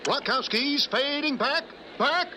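An actor voicing an emphatic, excitable television football announcer in a cartoon parody of sports commentary. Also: male voice, extremely high pitch, takes it slowly, heavily acted. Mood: announcing. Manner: emphatic, breathlessly excited build-ups. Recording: loud noise behind broadcast voice.

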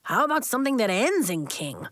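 A woman's voice: a little high, sing-song, a little fast, fairly bright tone, no echo, clean studio sound. A woman voicing a playful, easygoing young schoolboy in a children's audiobook.

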